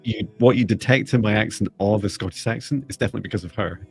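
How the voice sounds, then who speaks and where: A man's voice: fairly low pitch, fast talker, vocal fry, soft background music. A middle-aged man hosting a live stream in casual, jokey, chatty conversation.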